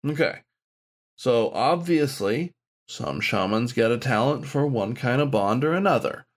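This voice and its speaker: A man's voice: medium pitch, expressive, a little slow, voice pushed up. A man voicing a frank badger shaman who mentors in a blunt, informal, explanatory way. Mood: explaining.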